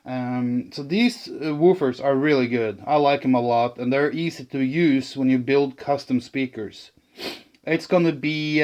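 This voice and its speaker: Guy with a slightly higher voice chatting through his diy project, kinda hesitant, thinking out loud, no script. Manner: hesitant, thinking aloud, halting. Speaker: channel host, speaker builder. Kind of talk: casual unscripted hobby build talk.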